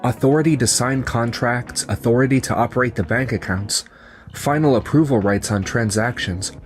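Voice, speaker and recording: Here is a man performing a young company man in a dramatized manga story. He explains things in scripted, conversational lines at a middle pitch. Music plays behind the voice. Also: normal speed, lightly acted. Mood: smug, businesslike. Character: betrayed young company founder, calm and resigned, and his coworkers. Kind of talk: manga dub acting and narration. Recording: music under the voice.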